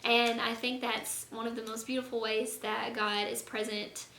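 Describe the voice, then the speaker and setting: Hoarse young woman vlogging, kinda flat tone, earnest and rambly, echoey room w a bit of hiss. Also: on the high side, normal speed. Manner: earnest, rambling, lots of fillers. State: hoarse, losing her voice. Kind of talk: casual faith vlog. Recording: echoey room, faint noise.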